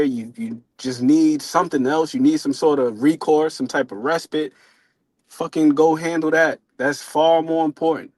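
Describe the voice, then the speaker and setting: A man's voice: fairly high pitch, dry recording. A man co-hosting a casual boxing talk show, speaking informally and reflectively with some swearing. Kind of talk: casual sports discussion.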